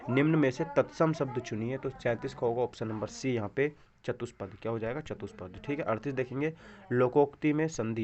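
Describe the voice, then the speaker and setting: A man's voice: medium pitch, a little mellow. Male exam teacher, dull lo-fi sound. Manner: repeats answers, poses rhetorical check questions. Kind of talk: instructional walkthrough of practice quiz questions.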